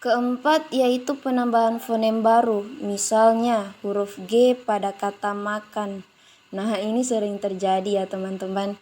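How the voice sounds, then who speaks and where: A woman's voice: mid-range voice, flat delivery, a little slow, mellow. A young woman giving a prepared explanatory presentation as a student, in a didactic way addressed to classmates. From Makassar, South Sulawesi, Indonesia. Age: young adult.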